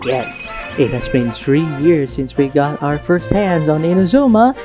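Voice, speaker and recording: A man's voice: on the high side, a little slow. A man hosting a gaming reaction video, mixing scripted commentary with casual chat in a theatrical, playful fan manner. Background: music behind the voice.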